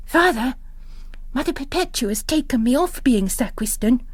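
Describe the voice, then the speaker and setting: A woman's voice: high voice, animated, normal speed, dry recording, pitched above the performer's own voice. A woman voicing an impetuous, earnest young nun with an urgent, outspoken manner in a story reading. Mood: urgent, confiding.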